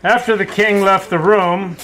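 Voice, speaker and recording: A man's voice: sits high, steady tone, a little slow, a bit bright. A man telling a story as narrator of an amateur puppet show. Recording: faint background noise.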